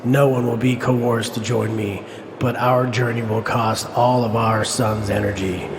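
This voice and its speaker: A man's voice: mid-range voice, flat delivery, measured pace, noisy background, natural voice. A man playing a zealous separatist leader in an audio drama, solemn and declamatory. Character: zealous separatist leader rallying his alien followers.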